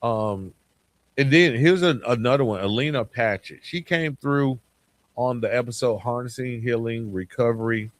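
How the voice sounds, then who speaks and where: A man hosting a podcast in a reflective monologue, speaking earnestly and with deliberate emphasis straight to his listeners. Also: medium pitch, no echo. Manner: earnest, addresses listeners directly as guys. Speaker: podcast host.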